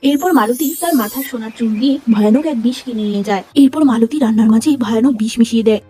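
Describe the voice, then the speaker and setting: A woman narrating a cartoon fairy tale in an even, storytelling way. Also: a little high, quick pace, breathy edge, faint music behind.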